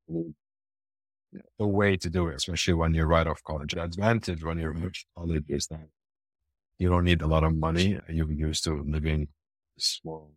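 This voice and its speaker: Very low voice guy from lebanon, laid back, lots of restarts, dry close sound. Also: dry recording. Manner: relaxed, hesitant with many restarts and fillers. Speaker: conference founder, guest. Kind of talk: casual interview answers.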